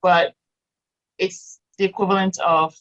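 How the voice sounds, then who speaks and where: A woman's voice: on the low side, level tone, measured pace, a bit bright, dull audio. A woman in her thirties, raised in Morocco with French as her first language, chatting as a guest, laughing often and restarting sentences. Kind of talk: casual interview chat.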